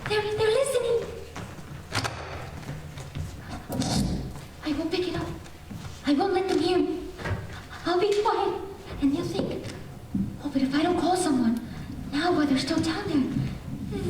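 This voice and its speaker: A woman's voice: extremely high pitch, expressive, normal speed, pauses often. A woman playing a frightened, pleading lady alone at night in a radio thriller, stammering with dread. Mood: fearful hush. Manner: breathless pleading, stammering, hushed then frantic. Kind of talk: 1940s radio thriller acting on stage. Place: stage in a large echoey hall. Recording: very echoey hall, distant mic, noisy.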